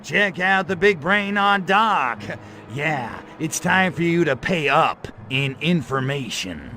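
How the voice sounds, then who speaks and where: Game alien invader: slow, swaggering drawn-out sarcasm, forceful edge, wisecracking menace. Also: male voice, a little high, lively intonation, slight background noise, exaggerated voice. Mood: mocking swagger. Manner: sarcastic, swaggering, slangy delivery. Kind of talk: video game cutscene voice acting.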